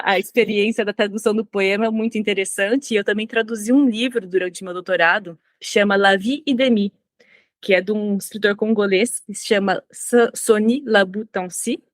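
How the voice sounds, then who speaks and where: Brazilian woman talking it through slowly in an interview, mid pitch, dry close sound. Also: dry recording. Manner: reflective, with frequent restarts. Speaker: guest, language consultant. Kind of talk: conversational interview.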